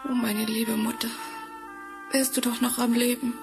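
Woman as a sad sweet young girl in a fairy tale play, soft-hearted and earnest, high voice. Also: flat delivery, unhurried, close to an everyday voice. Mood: sorrowful. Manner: meek, earnest. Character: gentle, sorrowful, pleading young stepdaughter. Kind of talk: German fairy-tale audio drama acting. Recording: music behind, vinyl rip.

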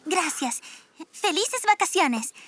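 Cheerful determined little girl, very high voice, lively intonation, eager, cartoon dub, music behind. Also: takes it slowly, heavily acted. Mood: gentle, insistent. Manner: bright-eyed, eager, exclamatory. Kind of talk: dubbed children's show acting.

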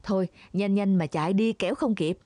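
A woman voicing a practical, anxious farming villager in a children's animated fable. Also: medium pitch, fairly quick, no room sound, lightly acted. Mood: worried, urgent. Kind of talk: children's cartoon fable dubbing.

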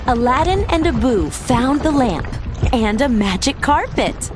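A woman reading a children's storybook aloud as narrator, with expressive scripted storytelling delivery. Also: sits high, a little slow. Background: background music.

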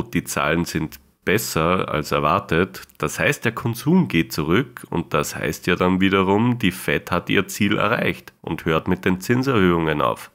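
Low-voiced man with a cold. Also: fairly bright tone. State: hoarse from a cold. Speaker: trading educator. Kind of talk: scripted explainer to camera. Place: small indoor room.

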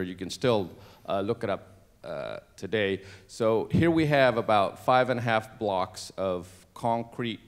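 A middle-aged man from Cambodia, a native Cambodian speaker, telling his life story on a church stage into a handheld mic, halting with many fillers and restarts. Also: fairly low pitch. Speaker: interview guest. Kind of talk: personal testimony on stage.

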